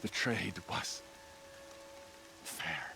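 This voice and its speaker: An actor playing a weary, grave warrior in a video game, speaking in a halting, solemn way. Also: male voice, deep voice, lively intonation, creaky, over music, full character voice. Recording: faint rainy game ambience.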